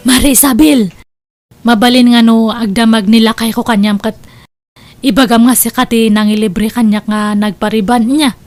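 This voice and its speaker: Casting: actress as evasive indebted wife, Ilocano, high-pitched, hesitant and slippery, for comedic radio drama. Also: female voice, fairly even intonation, normal speed, darkish tone, faint music behind, natural voice. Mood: coaxing. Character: evasive married woman who spends on credit, hides her debts.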